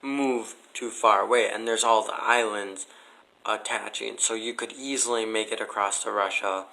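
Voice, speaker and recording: A man's voice: lowish voice, steady tone, moderate pace, creaky, pushed and bright, low-quality recording. A man chatting casually as an interview guest, with a blunt, skeptical way of talking. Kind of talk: casual chat.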